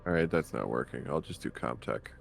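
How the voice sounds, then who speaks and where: Very deep, gravelly-voiced man. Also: a little fast, faint music behind. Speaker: player in a tabletop game. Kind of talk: casual chat.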